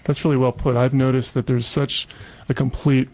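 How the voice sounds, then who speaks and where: A man's voice: medium pitch, little pitch movement, moderate pace, a little mellow. A man hosting a conversational radio interview, putting questions to his guests.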